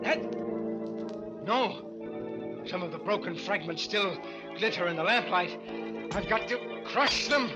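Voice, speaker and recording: An actor playing a guilt-haunted, obsessive murderer confessing feverishly in an old radio horror drama, halting and repeating himself. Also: male voice, sits high, full character voice. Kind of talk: 1940s radio horror acting.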